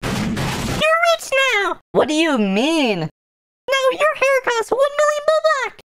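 A woman voicing naive, excitable young game avatars in an animated comedy skit, with exaggerated wide-eyed delivery. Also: very high-pitched, slow pace, dry recording, heavily acted. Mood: excited.

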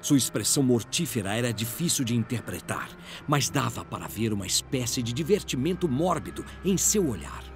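A man's voice: mid-range voice, sing-song, a little fast, few pauses, over music. A man with a Brazilian accent giving dramatic scripted narration for a fantasy audio drama.

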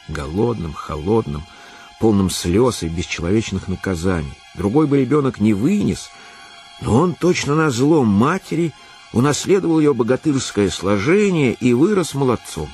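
An actor narrating a Russian literary radio play as an expressive, measured male storyteller. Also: deep voice. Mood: grave, hushed, then engaged storytelling.

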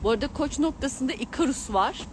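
A woman's voice: high voice, lively intonation, fast talker, music in the background. A middle-aged woman chatting casually and exclaiming to her followers in a giggly travel vlog style, filming herself outdoors on a handheld gimbal. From Turkey. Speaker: vlogger and astrologer. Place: outdoors at a mountain viewpoint. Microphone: handheld camera on a gimbal. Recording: loud outdoor urban noise with vehicles.

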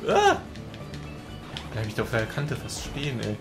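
A man's voice: mid-range voice, huge pitch swings, moderate pace. A man co-commentating a racing game, laughing often and teasing his partner, with game music underneath. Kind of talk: casual gaming commentary.

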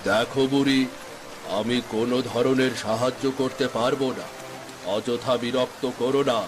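A man's voice: mid-range voice, steady tone, moderate pace, music in the background, natural voice. A man playing a stern, dismissive rich village landlord in a cartoon. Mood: stern dismissal. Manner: dismissive, scolding. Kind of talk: Bengali fairy-tale cartoon dialogue.

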